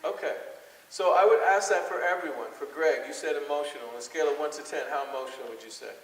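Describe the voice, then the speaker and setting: A man with a fairly high voice leads an interactive workshop. He is encouraging, asking questions and echoing answers back as he explains. The sound is distant, with a long hall reverb. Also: quick pace. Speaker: workshop speaker. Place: large hall with an audience. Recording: echoey hall, distant microphone.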